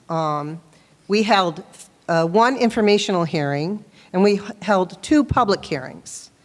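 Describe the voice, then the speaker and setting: Loud woman echoing through a hall. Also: lowish voice. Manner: loud, earnest, explanatory. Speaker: town board member explaining a bylaw. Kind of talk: public meeting explanation. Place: town meeting hall. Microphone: handheld microphone, sometimes held off-axis. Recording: long reverb of a meeting hall.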